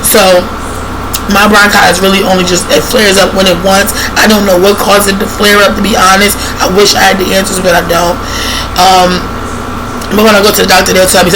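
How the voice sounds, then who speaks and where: A woman vlogging to her viewers in a casual, rambling way, hoarse and congested, full of fillers and restarts, coughing now and then. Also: medium pitch. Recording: clear vehicle noise behind.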